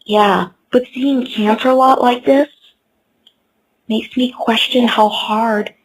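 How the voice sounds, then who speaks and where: Woman as a starry-eyed young pony girl, high voice, a bit breathy, fan dub. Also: fairly even intonation, takes it slowly, poor audio. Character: hopeful young female earth pony.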